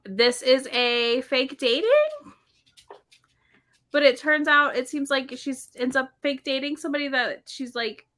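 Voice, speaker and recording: A woman chatting casually about books in an animated, conversational way. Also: high voice, a little slow. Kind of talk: casual book chat.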